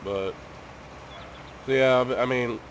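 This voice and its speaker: A man's voice: medium pitch, slow, a little hiss or hum, rough recording. A man who is sick with a cough, chatting casually to his viewers at home in a rambling way, full of ums and restarts. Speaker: gaming YouTuber.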